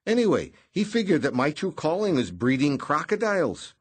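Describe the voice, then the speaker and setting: A man's voice: a little high, big pitch swings, normal speed, husky, pitched above the performer's own voice. A man voicing a jolly, plump, bald middle-aged bureaucrat who is cheerful and evasive. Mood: chatty.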